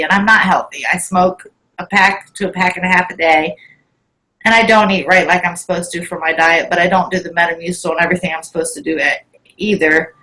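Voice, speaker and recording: Bright-voiced woman in her forties. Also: lowish voice, low-quality recording. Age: 40s. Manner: emphatic, insistent. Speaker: guest. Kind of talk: casual chat.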